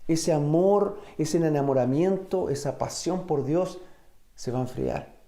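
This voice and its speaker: Slow-paced American-born preacher man in echoey room. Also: a little high, dark tone. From United States. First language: English. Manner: deliberate, pausing, searches for words. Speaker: pastor. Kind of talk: sermon. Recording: roomy, echoing sound.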